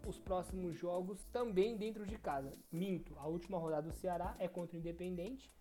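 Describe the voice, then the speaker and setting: A man's voice: high voice, brisk, over music. A young Brazilian man co-hosting a casual football podcast, running through results and stumbling over foreign team names. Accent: Brazilian Portuguese. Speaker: podcast co-host. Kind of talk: casual sports podcast chat.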